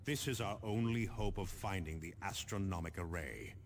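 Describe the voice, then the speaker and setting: An actor playing a stern, resolute space marine captain, giving formal, measured briefings in a video game. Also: male voice, sits low, noisy background. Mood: grave, commanding.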